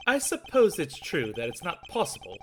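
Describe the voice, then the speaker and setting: A man's voice: a little high, huge pitch swings, normal speed, fairly bright tone. A man voicing a composed, logical prosecutor with a formal, theatrical delivery in a comedic game dub. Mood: composed, confident. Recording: game music under the voice. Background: game music.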